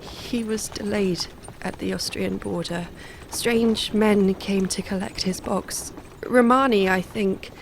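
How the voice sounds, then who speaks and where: Lady as haunted young wife in gothic radio play, composed but intense, medium pitch, bit of noise. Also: fairly even intonation, slow pace, vocal fry. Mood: entranced, uneasy. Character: haunted, resolute young wife cursed by a vampire. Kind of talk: audio drama acting and narration. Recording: audible background noise.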